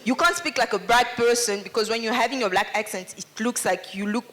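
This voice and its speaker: A woman's voice: a little high, fairly quick, a bit bright. A woman panelist, speaking English earnestly and outspokenly. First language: Lingala. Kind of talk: panel discussion comment.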